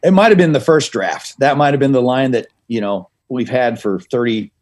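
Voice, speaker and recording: Man, middle-aged, podcast guest, rambling, dry humor, mid pitch. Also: moderate pace, darkish tone. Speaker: guest comedy writer and actor. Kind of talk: casual podcast interview.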